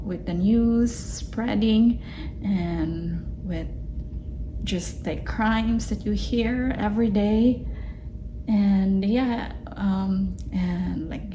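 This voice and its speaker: Slow-talking Filipino woman vlogger. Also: mid-range voice, warm tone, slight background noise, low-quality recording. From Philippines. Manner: chatty, lots of fillers and restarts, laughs easily. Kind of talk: casual self-reflective vlog.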